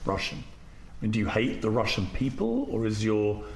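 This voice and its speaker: A man's voice: lowish voice, sing-song, normal speed, creaky, darkish tone. A man hosting an interview, asking probing questions in a conversational way. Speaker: interview host. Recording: roomy echo.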